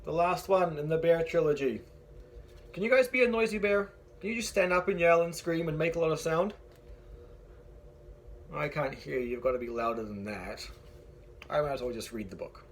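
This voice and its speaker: A man's voice: fairly high pitch, moderate pace, pauses often, a little hiss or hum. A man teacher reading a picture book aloud to young children, encouraging them and asking them questions as he goes.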